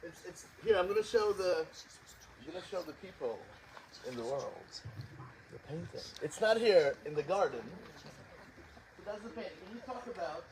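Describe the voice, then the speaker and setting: A man's voice: high voice, animated, normal speed, long pauses. A man hosting a small outdoor concert, talking in a rambling, self-deprecating way with many fillers. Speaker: concert co-director and host. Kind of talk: casual spoken introduction at a live concert. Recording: distant outdoor recording with background noise.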